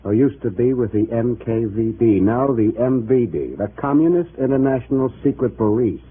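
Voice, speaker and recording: An actor playing a suspicious, sardonic communist comrade who probes in clipped lines, in a 1950s radio drama. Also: male voice, mid-range voice, animated, moderate pace, slightly rough. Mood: wary, ominous. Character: suspicious, needling male communist party comrade. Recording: tinny, muffled old radio recording.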